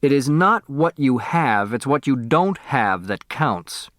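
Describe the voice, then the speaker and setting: A man voicing an excitable man eager to solve a puzzle in an audiobook. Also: mid-range voice, takes it slowly, dry recording, clean studio sound. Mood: reasoning.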